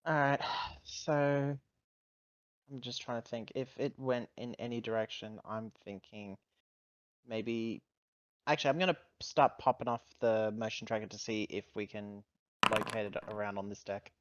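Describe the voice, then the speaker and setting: A man's voice: fairly low pitch, fairly even intonation, normal speed, a bit bright, highs cut off. A man chatting casually and thinking aloud as a player in a tabletop roleplaying game.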